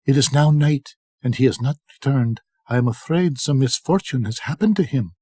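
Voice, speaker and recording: Older man as an anxious wife, pitched up. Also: moderate pace, a little mellow, husky, no room sound, natural voice. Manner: fearful, earnest storybook speech. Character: worried wife of a missing man, anxious and alarmed.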